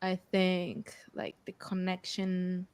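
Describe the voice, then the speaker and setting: Slow halting woman guest. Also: on the low side, monotone, darkish tone, a little hiss or hum. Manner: slow, halting, searches for words with many ums. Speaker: panel guest. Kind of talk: casual chat.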